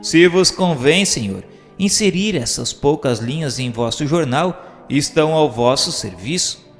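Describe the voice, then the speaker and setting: A Brazilian man narrates a written text in a formal, instructive way. His voice sits a little high and swings widely in melody, with careful enunciation. The pace is unhurried, and soft music plays underneath. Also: expressive. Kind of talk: scripted narration, reading a book aloud.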